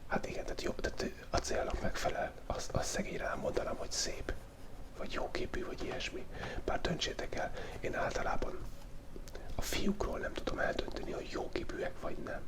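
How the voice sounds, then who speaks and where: A man whispers a playful, rambling, hesitant ASMR chat as host of a drawing channel, while he has a cold.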